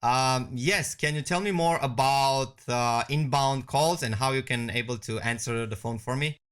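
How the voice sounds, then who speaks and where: Man presenting a software walkthrough. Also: medium pitch, normal speed, clear, resonant tone. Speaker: product demonstrator. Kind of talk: conversational software walkthrough narration.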